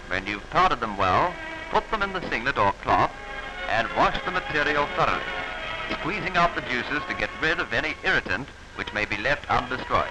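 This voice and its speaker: A man narrating a 1940s instructional film in a formal, instructive manner with measured diction, on an old film soundtrack. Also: mid-range voice, poor audio.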